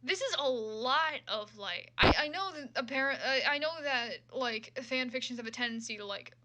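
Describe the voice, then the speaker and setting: A woman's voice: high voice, moderate pace, sharp tone. A woman reading fan fiction aloud with casual, irreverent and sometimes profane commentary.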